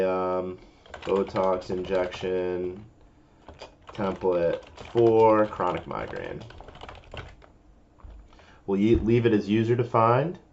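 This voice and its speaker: Low-voiced man giving a software tutorial. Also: highs cut off. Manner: informal, explanatory, talking through each step. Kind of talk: casual step-by-step screen-recording tutorial.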